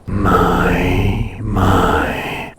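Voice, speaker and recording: A man playing an ancient dragon familiar in an intimate roleplay audio, tender, possessive and teasing, soothing and often dropping to a breathy murmur. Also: low voice, flat delivery, very slow, reverberant, far from the mic, heavy background noise. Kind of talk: intimate roleplay audio drama, personal attention.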